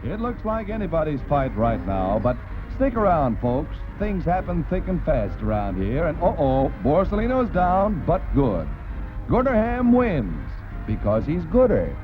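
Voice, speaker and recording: A man's voice: on the high side, wildly swooping pitch, unhurried, narrow, tinny audio. An American man narrating a 1940s newsreel with a jaunty, wisecracking, punchy showman's delivery, on a vintage soundtrack. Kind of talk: 1940s newsreel commentary, scripted. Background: background music.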